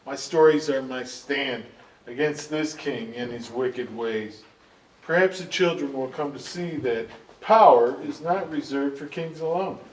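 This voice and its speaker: A man's voice: medium pitch, unhurried, slight background noise, lightly acted. Man acting an earnest storyteller, echoey and distant. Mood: wistful, resolute. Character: loyal grieving royal storyteller, earnest and devoted. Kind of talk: staged reading of a stage musical. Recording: echoey stage, distant mic, faint music.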